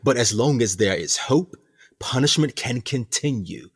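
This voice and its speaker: An actor playing a smug, menacing ship captain in a radio play, theatrical, deliberate and mock-courteous. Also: male voice, medium pitch, expressive, husky. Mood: sinister, relishing. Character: sinister male ship captain, smug, menacing warden of punishment.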